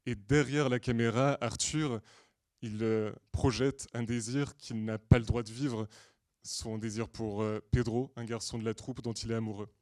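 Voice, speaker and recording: A man from Portugal presenting a prepared film pitch as a storyteller, with deliberate, earnest phrasing and hesitant pauses. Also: steady tone, a little mellow, no echo, studio quality. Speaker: screenwriter and director pitching a feature film. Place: screening room at a film school.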